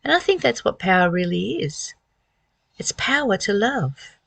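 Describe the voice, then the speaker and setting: A woman's voice: medium pitch, big pitch swings, measured pace, vocal fry, no room sound. A woman giving a spiritual talk as a teacher, reading and reflecting with rhetorical questions.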